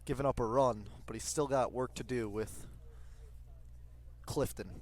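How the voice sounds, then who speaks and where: A man's voice: medium pitch, unhurried, a little mellow, dry recording. A man giving conversational live analysis as color commentator on a baseball radio broadcast.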